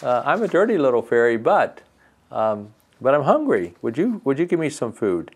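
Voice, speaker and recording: A man's voice: mid-range voice, big pitch swings, normal speed, darkish tone, slightly rough. An older man voicing a hungry little girl fairy who begs for food. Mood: pleading.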